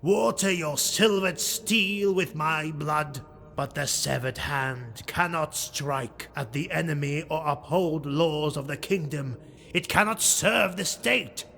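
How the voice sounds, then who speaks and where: A man voicing a proud, hate-filled dark-elf nobleman, declaiming defiantly and theatrically in a fantasy audiobook. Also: fairly high pitch, slow, creaky, faint music behind, voice pushed up, heavily acted. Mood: snarling defiance.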